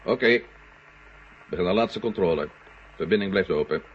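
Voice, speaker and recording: An actor playing a secretive, controlled astronaut conspirator in a radio play, husky and gravelly, with a measured, authoritative manner. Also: male voice, low voice, a little hiss or hum, lightly acted. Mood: businesslike. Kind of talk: 1970 Dutch radio play acting. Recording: dull, muffled old radio recording.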